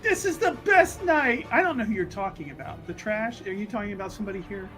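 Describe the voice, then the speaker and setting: A woman voicing a drunken, oblivious young man who carouses in a tavern roleplaying game, brash, excitable and demanding. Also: mid-range voice, quick pace, soft background music, close to an everyday voice.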